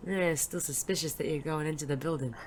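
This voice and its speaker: An actor playing a world-weary, wisecracking private detective in an audio drama, dry, sardonic and casually profane, with a soft spot underneath. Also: mid-range voice, a little fast, close to an everyday voice. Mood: sardonic, wary.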